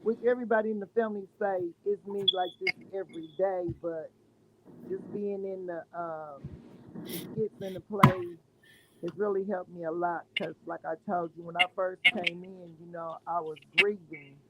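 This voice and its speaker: A woman's voice: on the low side, fairly even intonation, moderate pace, heavy background noise. A woman from Ohio, a stage-play cast member, speaking earnestly and calling others honey.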